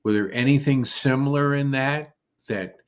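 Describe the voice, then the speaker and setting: A man's voice: mid-range voice, normal speed, clear, resonant tone. A man hosting a podcast, asking his guest questions casually and restarting his sentences as he goes. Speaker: podcast host.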